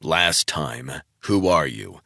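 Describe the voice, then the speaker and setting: A man's voice: very deep voice, animated, takes it slowly, creaky, bright, forceful tone, no room sound, studio quality, heavily acted. A man voicing a hard, furious male agent who interrogates a prisoner in blunt, menacing tones.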